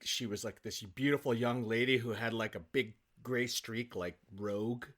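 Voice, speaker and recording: A man, probably middle-aged, telling anecdotes casually in an animated, self-deprecating, halting way as an interview guest. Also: medium pitch, background noise. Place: home office, on a remote call.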